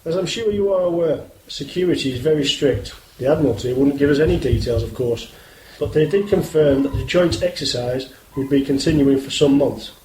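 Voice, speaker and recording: A man's voice: on the high side, a little fast, darkish tone, natural voice. A British man playing an earnest, guarded police inspector in a radio drama, speaking formally and politely. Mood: formal, probing. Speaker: actor in amateur radio play. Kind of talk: scripted radio drama dialogue. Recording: roomy, hissy amateur radio play recording.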